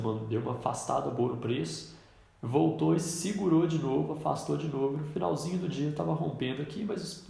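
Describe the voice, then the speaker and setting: A man's voice: mid-range voice, creaky, darkish tone, very echoey. A man with a cold, teaching a trading lesson in a casual way while he points things out on a chart. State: congested with a cold. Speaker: trading instructor. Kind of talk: screen-recorded trading lesson.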